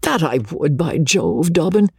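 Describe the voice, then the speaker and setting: A man voicing a hot-headed, haughty young army officer in a storybook reading, with old-fashioned gentlemanly speech. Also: medium pitch, big pitch swings, a little slow, husky, no echo, studio quality, full character voice. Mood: contrite, cajoling.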